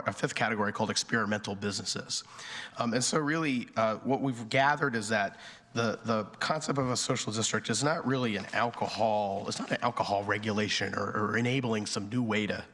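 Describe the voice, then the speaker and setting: A man with a hoarse voice from a cold, a town planning director presenting to a board with many ums and restarts. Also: fairly low pitch, fairly bright tone. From North Carolina. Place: town council chamber at a podium. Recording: long reverb in a council chamber.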